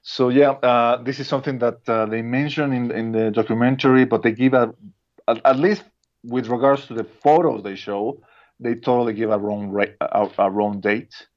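A man's voice: medium pitch, steady tone, normal speed. Mexican-accented man, muffled lo-fi sound. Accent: Mexican Spanish. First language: Spanish. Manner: hesitant, many restarts and fillers, laughs often. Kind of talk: casual podcast conversation. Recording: dull lo-fi sound.

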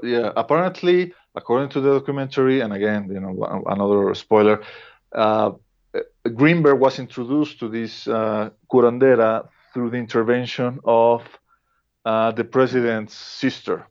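A man's voice: mid-range voice, moderate pace, a little mellow. A man with a Mexican Spanish accent, a native Spanish speaker, chatting casually on a podcast, hesitant with many restarts. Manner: hesitant, many restarts and fillers, laughs often. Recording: dull lo-fi sound.

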